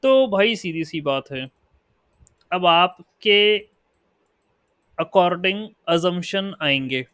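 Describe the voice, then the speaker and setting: A man with an Indian accent teaching a statistics lesson. He explains in Hindi and reads definitions out slowly in English. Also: high-pitched, a bit bright, clear, resonant tone, no echo, studio quality. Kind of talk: lecture.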